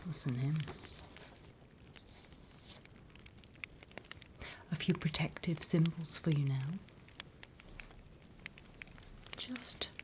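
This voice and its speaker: A British woman voicing a sly, wryly menacing demon instructor in a hushed, conspiratorial ASMR roleplay whisper, close to the microphone. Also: deep voice. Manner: measured, hushed, dryly conspiratorial.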